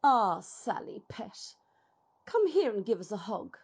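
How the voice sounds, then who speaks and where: A woman playing an affectionate, motherly figure in a radio play, with a husky voice. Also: mid-range voice, sing-song, a little slow, darkish tone, full character voice. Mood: consoling.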